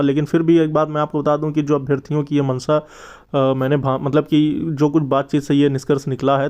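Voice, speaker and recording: A man's voice: fairly high pitch, warm tone, smooth. A man giving an informal update to viewers as a channel host and tutor, explaining earnestly and wordily.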